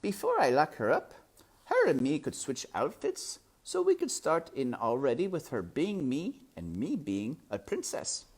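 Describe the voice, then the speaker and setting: A woman's voice: low voice, huge pitch swings, unhurried, darkish tone, slightly rough, pitched above the performer's own voice, heavily acted. A woman voicing a simple, hopeful young serving girl with naive, rustic phrasing. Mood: eager.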